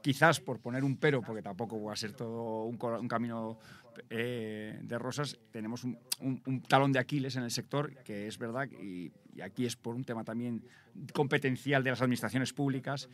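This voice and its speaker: Male industry spokesman with a Spanish accent, medium pitch, explanatory interview answers with restarts. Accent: Spain. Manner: halting, repeats words while explaining. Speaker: bus industry association president, interviewee.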